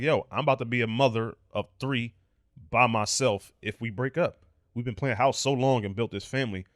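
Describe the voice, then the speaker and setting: A man's voice: medium pitch, no room sound, clean studio sound. A man in his thirties co-hosting a podcast, talking candidly and emphatically, swearing freely in casual chat. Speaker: podcast co-host.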